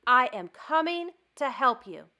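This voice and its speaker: Woman voicing an anxious, high-pitched storybook toad. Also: big pitch swings, unhurried, pushed and bright, exaggerated voice. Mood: determined. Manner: dramatic, emphatic worrying and questioning. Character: anxious, devoted male toad, fretful storybook friend.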